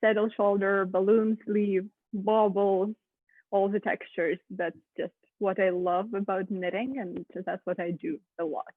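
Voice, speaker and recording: Husky woman on a tinny call. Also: mid-range voice, flat delivery, measured pace, dry recording. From Ukraine. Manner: chatty, many ums and uhs, laughs often. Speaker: guest, knitwear designer. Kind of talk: casual interview chat. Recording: tinny phone-like video call.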